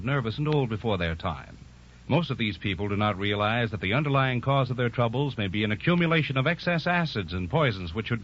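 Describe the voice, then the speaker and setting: A man's voice: medium pitch, fairly bright tone, a little hiss or hum. A man delivering a scripted 1940s radio advertisement, earnest and carefully enunciated. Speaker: commercial announcer. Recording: muffled 1940s radio recording.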